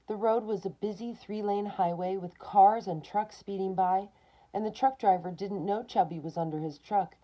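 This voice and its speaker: A woman's voice: mid-range voice, mellow, lo-fi sound. A woman reading a picture book aloud to children, expressively, with short asides of her own.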